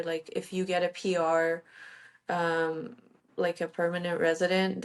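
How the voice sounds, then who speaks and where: A woman in her thirties from Iran, a guest in a casual interview, talking chattily with frequent fillers and self-corrections. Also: lowish voice. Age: 30s.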